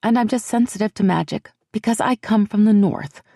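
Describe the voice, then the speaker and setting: A woman's voice: medium pitch, a little fast, gravelly, warm tone, no echo, clean studio sound, voice pushed up. A woman doing an earnest, firm young woman.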